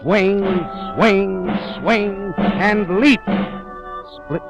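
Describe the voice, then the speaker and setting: An actor playing a hard-boiled private detective who narrates in terse, dry first-person lines in a 1940s radio drama. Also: male voice, high voice, drawn-out words, vocal fry, exaggerated voice. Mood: tense. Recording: dull vintage radio recording with music.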